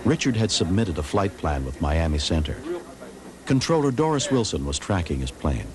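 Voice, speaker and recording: A man's voice: sits low, big pitch swings, normal speed, a crowd in the background. A man narrating a documentary in a scripted, dramatic style. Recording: music behind the voice. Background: music.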